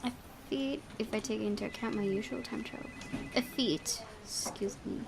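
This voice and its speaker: A woman's voice: fairly high pitch, moderate pace, gravelly, background noise. A woman reading visual novel narration aloud, breaking into casual asides as a gaming YouTuber. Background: background music.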